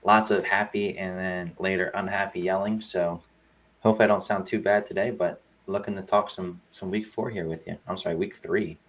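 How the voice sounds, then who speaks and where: A hoarse man co-hosting a casual sports betting chat, easygoing, with a few slips and fillers. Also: sits low, steady tone, a little fast. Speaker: podcast co-host.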